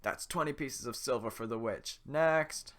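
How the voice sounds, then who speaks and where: A man's voice: mid-range voice, moderate pace, a bit bright, pitched above the performer's own voice, lightly acted. A man voicing a brusque, bossy male head guard who barks orders and prices. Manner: barking orders.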